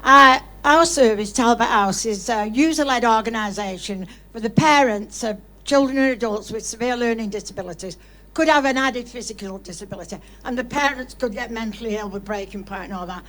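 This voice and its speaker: A high-voiced woman speaks from the audience at a live public Q&A. She is blunt and colloquial, talking off the cuff and with conviction, like someone who runs a grassroots service. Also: a little fast, fairly bright tone. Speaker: audience member, charity service leader. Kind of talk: impassioned unscripted testimony at a public Q&A. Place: indoor studio audience event.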